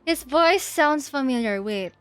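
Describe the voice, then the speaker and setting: A woman's voice: high-pitched, expressive, measured pace, fairly bright tone. A woman livestreamer giving casual game commentary, thinking aloud and often repeating her words. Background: faint game music.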